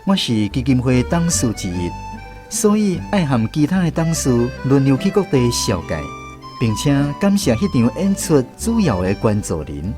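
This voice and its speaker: Taiwanese man narrating with lively intonation. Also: mid-range voice, moderate pace. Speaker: radio drama narrator. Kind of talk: scripted first-person storytelling narration. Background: instrumental music.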